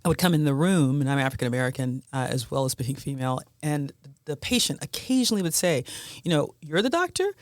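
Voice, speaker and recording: A woman's voice: deep voice, big pitch swings, fast talker, hardly any pauses, no echo, studio quality. A woman in her fifties, a physician hosting a podcast, chatting casually with guests and laughing between remarks. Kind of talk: casual panel conversation.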